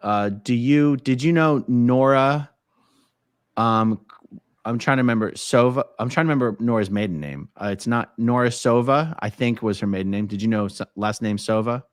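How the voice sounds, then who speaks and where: A man's voice: mid-range voice, moderate pace, clear, resonant tone, no room sound, studio quality. A man hosting a casual live-stream interview, easygoing, reading chat comments aloud. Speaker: host.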